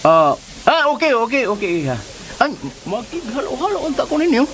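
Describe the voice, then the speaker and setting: An actor playing a leering, flustered older Filipino uncle in a radio drama, stammering, hemming and exclaiming. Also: male voice, extremely high pitch, very animated, normal speed, fairly bright tone, exaggerated voice. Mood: flustered, eager.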